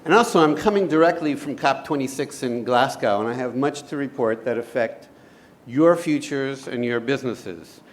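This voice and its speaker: A man giving a formal keynote speech at a conference, speaking in an earnest, deliberate way. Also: mid-range voice, slight background noise. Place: conference stage.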